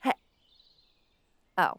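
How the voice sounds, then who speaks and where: A woman playing a petty, obsessive supervillain in an audio roleplay, gloating haughtily and speaking straight to the listener with theatrical pauses. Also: fairly bright tone, dry recording. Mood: mocking, flustered. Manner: gloating, haughty, dramatic pauses and sudden swoops. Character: petty, obsessive, melodramatic female supervillain with a grudge.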